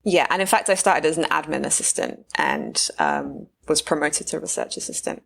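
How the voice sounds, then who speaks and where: A woman's voice: low voice, wildly swooping pitch, normal speed, vocal fry, fairly bright tone. A British woman in her thirties, a researcher from the UK, talking through her career path as a podcast guest, with frequent fillers. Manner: thoughtful, many fillers and restarts. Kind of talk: casual interview talk.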